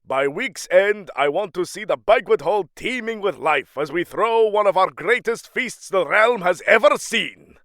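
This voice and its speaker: A man's voice: sits high, expressive, a little slow, vocal fry, hardly any pauses, fairly bright tone, dry recording, studio quality, heavily acted. An actor playing a proud, aging king of the Norse gods in a fantasy audio drama, grandiose and commanding. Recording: music under the voice.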